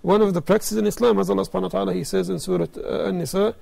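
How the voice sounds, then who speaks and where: A man's voice: sits high, steady tone, very fast, creaky, warm tone. A man speaking as an expert guest, explaining things in a panel discussion.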